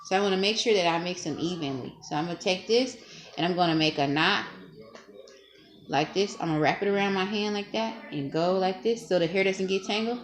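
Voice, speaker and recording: A young woman talking casually through a hair-braiding tutorial at home, chatty and self-teasing, laughing often, recorded on a camera propped low in front of her. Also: fairly quick. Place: small dim room at home. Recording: echoey room, faint noise.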